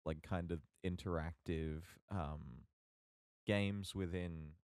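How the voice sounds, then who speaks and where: A man co-hosting a podcast in casual chat, halting as he searches for his words. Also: deep voice, steady tone, a little mellow, clean tone, no room sound, studio quality. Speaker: podcast co-host.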